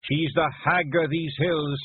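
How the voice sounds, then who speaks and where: A man voicing a small, brawling, brooding fairy clan chief with a broad Scottish accent and a gruff, blustering delivery. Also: on the high side, fairly even intonation, slow pace, no echo, pitched above the performer's own voice. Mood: earnest. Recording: phone-like narrow band.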